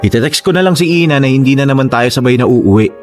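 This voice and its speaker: A man's voice: mid-range voice, lively intonation, quick pace, darkish tone, close to an everyday voice. An actor playing a lovesick, insistent young man in a Filipino radio drama, pleading and teasing in a dramatic way. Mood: coaxing. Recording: music under the voice.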